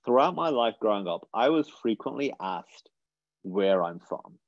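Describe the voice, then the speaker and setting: Low-voiced British man telling his story. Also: sing-song, unhurried, no echo, highs cut off. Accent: British English. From England. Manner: earnest, reflective storytelling with many restarts. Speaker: guest speaker. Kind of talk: webinar talk.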